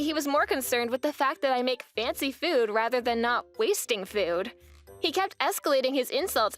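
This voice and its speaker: A young woman playing an indignant, resolute wife who narrates her story expressively in a manga dub. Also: sits high. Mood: weary.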